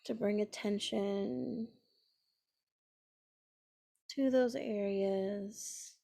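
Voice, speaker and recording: A woman leading a guided meditation in a gentle, soothing manner, pausing often between phrases. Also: medium pitch, steady tone, vocal fry. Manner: gentle, unhurried, with long pauses between phrases.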